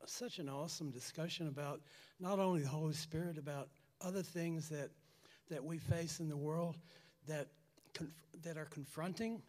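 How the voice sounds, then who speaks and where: A raspy-voiced man giving a halting personal testimony to a church congregation, repeating words as he goes. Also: on the high side, fairly even intonation, darkish tone. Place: church hall. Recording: long church hall reverb.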